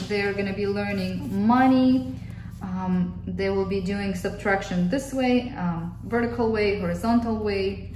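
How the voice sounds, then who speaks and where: A woman's voice: a little high, a little mellow. Russian-accented mother in an echoey room. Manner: friendly, explanatory, repeats words for emphasis. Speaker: homeschooling mother. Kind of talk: casual workbook flip-through review. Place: indoor room at a table. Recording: roomy, distant mic, faint hiss.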